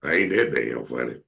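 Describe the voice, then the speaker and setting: A man's voice: gravelly, bright, forceful tone. A man, hosting a casual chat, joking and telling stories. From Atlanta, Georgia. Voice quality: very deep voice. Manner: joking, slips into comic impressions. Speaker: show host.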